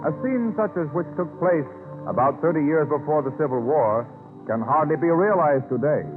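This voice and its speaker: A formal American man announces and narrates a 1930s radio drama. His voice is on the high side and declamatory. It comes through a dull vintage recording with clear music behind him. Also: animated, normal speed.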